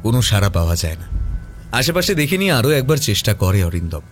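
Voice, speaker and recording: A man narrating a scripted horror mystery audio story in a dramatic, measured manner. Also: fairly low pitch, big pitch swings, slight background noise.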